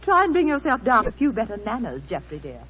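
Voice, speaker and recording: An actress playing a stage-struck British wife in an old radio drama, theatrical and gushing, with a scolding edge. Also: female voice, on the high side, wildly swooping pitch, fast talker, a bit bright, a little hiss or hum. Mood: reproving. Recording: vintage radio recording.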